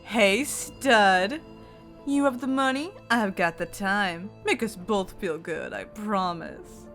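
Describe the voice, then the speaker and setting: A woman voices a sly comic-book streetwalker. She speaks slowly at a medium pitch, coaxing and seductive, with music behind her. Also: a bit bright. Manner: flirtatious, coaxing. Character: seductive female streetwalker propositioning, sly and sultry. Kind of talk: comic dub acting.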